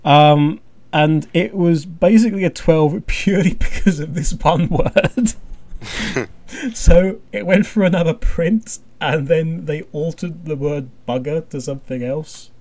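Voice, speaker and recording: A man with a slightly high voice hosts a casual chat. He rambles and hesitates as he goes, dropping dry jokes along the way. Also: a little slow, a little mellow. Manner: hesitant, rambling, dryly jokey. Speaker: podcast host.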